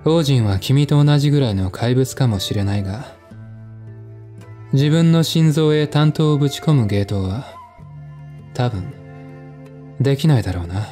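Cynical, composed male writer for mystery drama, performed by a man; unhurried, dark-toned, dry. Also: mid-range voice, lively intonation, soft background music, natural voice. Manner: dry, sardonic, measured. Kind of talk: dramatized audiobook dialogue.

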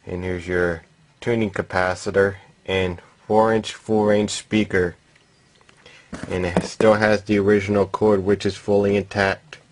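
A man with a fairly low voice talks casually through a hands-on demonstration. He is plain-spoken and matter-of-fact, like a hobbyist filming at home on a camera microphone. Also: little pitch movement, a little slow, a little hiss or hum. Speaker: vintage radio hobbyist demonstrating a repair. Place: indoors at home, voice from behind a handheld camera.

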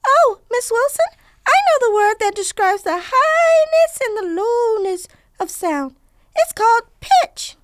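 A cheerful cartoon schoolgirl, played by a woman, her voice very high and bouncy as she explains facts with eager certainty. The sound is dry and close, with no room around it. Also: sing-song, measured pace, no room sound, heavily acted. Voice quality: high-pitched. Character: bright cartoon girl student, cheerful know-it-all. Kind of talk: educational cartoon voice acting.

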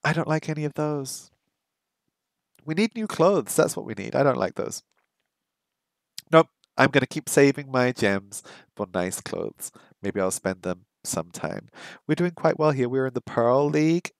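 A middle-aged man talks casually into a headset mic, close and dry. He chats to viewers, stops to sound out practice phrases slowly, and repeats words as he works through them. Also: medium pitch, lively intonation, creaky, no echo. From English first language, lives in Hong Kong. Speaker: streamer learning Japanese. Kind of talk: casual live-stream chat. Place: small home room by a window.

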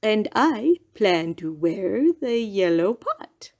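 A woman voicing a calm, polite male goat who speaks loudly and formally. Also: mid-range voice, slow pace, exaggerated voice.

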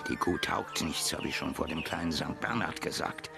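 A gruff old soldier from a radio play, very deep and gravelly. He rambles to himself in clipped barracks phrases, with music behind him. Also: normal speed, full character voice. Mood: grumbling, weary. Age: older. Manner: muttering in clipped military fragments. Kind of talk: German radio play acting.

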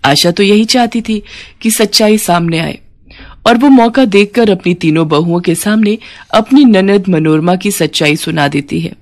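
A woman's voice: mid-range voice, normal speed. A woman reading a scripted moral bedtime story as the storyteller.

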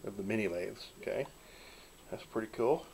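A man giving a relaxed, folksy walk-through of a small backyard wooden workshop, filming on a handheld camera. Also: on the low side, normal speed, vocal fry, husky. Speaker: channel host filming a shop tour. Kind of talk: casual narrated shop tour.

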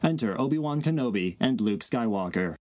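Guy reading out stage directions from a script, mid pitch, no room sound. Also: animated, brisk, a little mellow, no room sound, clean studio sound. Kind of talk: scripted narration.

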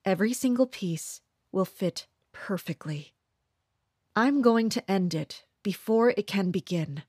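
A woman playing a guarded, distrustful commander of mercenaries in an audio drama, measured and introspective. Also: mid-range voice, lively intonation, darkish tone, slightly rough, no room sound, studio quality. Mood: determined. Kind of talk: audio drama acting with inner monologue.

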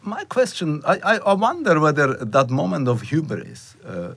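A middle-aged man from eastern Slovakia interviewing a guest, asking a long question with halting restarts, at a desk microphone in a studio. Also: fairly high pitch, very animated, fairly bright tone, slightly rough. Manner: halting, repeats words while framing a question. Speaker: interviewer, associate professor. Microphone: desk mic on stand.

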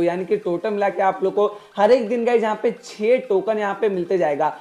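A man's voice: sits high, darkish tone. A man with an Indian accent giving an informal tutorial voice-over as a gaming creator, repeatedly addressing his viewers. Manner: repetitive, constantly addressing viewers as guys.

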